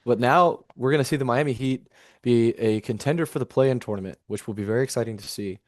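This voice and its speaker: A man's voice: mid-range voice, quick pace, no room sound, clean studio sound. A man co-hosting a sports podcast with casual, chatty banter.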